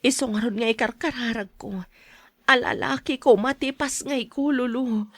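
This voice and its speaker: A woman acts out a young wife and mother in a radio drama, with an Ilocano accent. Her voice sits high and is recorded very dry. She comes across as gentle, hopeful and devoted. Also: steady tone, moderate pace, no room sound, close to an everyday voice. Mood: anxious, hopeful.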